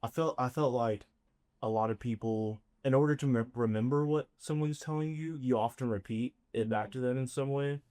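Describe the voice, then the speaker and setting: A man's voice: medium pitch, normal speed, mellow. A man in a workshop audience sharing his thoughts, tentative and thinking aloud. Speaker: workshop participant. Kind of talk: sharing in a group discussion.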